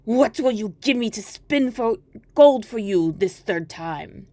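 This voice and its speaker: A woman's voice: a little high, expressive, measured pace, mellow, no room sound. A woman voicing a droll little male hobgoblin, a sly bargainer with an emphatic, singsong manner, in a storybook reading. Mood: sly, bargaining.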